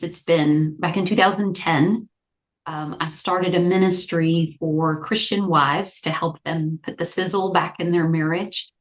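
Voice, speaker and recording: A woman clinical psychologist, a guest on a podcast, explaining her ideas in a lively, conversational way. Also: on the low side. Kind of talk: conversational interview.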